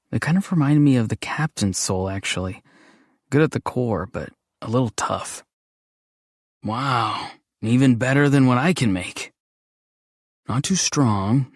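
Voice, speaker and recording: An actor voicing a gentle, odd, introverted young man in a video game, speaking in an earnest, hesitant, matter-of-fact way. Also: medium pitch, no echo, clean studio sound, natural voice. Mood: thoughtful, matter-of-fact. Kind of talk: scripted game character lines.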